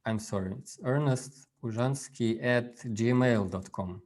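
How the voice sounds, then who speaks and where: A man whose first language is Russian gives an informal workshop over a video call, speaking haltingly with many fillers and restarts. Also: mid-range voice, a little mellow. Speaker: workshop presenter. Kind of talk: informal online workshop talk.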